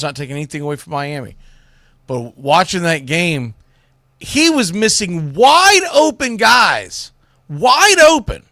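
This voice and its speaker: A man's voice: fairly high pitch, sharp tone, no room sound, clean studio sound. A man hosting a sports radio show, giving opinionated commentary in a blunt, emphatic, folksy way with salty slang.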